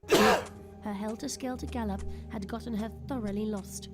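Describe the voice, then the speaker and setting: A woman's voice: medium pitch, steady tone, normal speed. A woman reading scripted narration for an audio drama. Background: faint background music.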